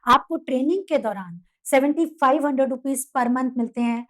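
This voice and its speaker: A woman's voice: high voice, brisk. A woman with an Indian accent narrating a scripted career guidance explainer, setting out points one by one in an instructional way. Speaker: presenter of career guidance videos.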